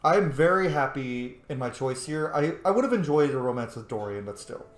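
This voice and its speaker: A man's voice: medium pitch, expressive, a little fast. A young man giving casual, enthusiastic commentary on a game he is playing, chatty and exuberant. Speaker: gaming streamer. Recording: echoey room, faint game music. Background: faint game music.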